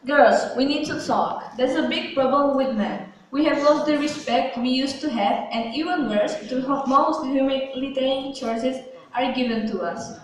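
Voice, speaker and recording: A woman's voice: high voice, measured pace, slight background noise. A woman playing a proud, scorned, vengeful woman in an amateur puppet show, speaking with indignant emphasis. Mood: rallying. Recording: very echoey room, far from mic.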